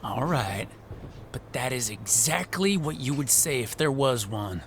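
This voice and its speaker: A man's voice: mid-range voice, lively intonation, a little slow. A man playing an anxious, paranoid, sulking young wrestler in a video game, whiny and insistent. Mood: suspicious. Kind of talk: video game cutscene dialogue. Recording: faint background noise.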